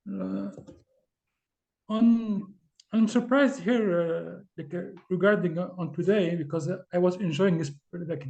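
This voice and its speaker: A man from Tunisia speaking English as an on a video call, halting with many fillers and restarts. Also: sits high, vocal fry, dark tone, poor audio. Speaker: class participant. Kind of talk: casual discussion on a video call.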